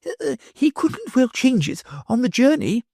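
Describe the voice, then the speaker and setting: Guy doing a meek little professor, high and hesitant, dry sound. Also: very animated, unhurried, gravelly, darkish tone, dry recording, heavily acted. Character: meek timid old male professor. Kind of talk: audiobook character reading.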